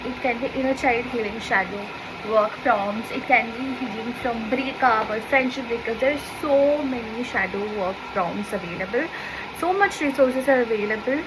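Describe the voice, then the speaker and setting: A woman's voice: fairly high pitch, normal speed, background noise. A young woman from Bengal with a Bengali accent, chatting casually to camera in a lifestyle vlog while showing journal pages. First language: Bangla. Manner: chatty, repeats "see" and "so yeah", shows pages as she talks. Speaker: lifestyle vlogger.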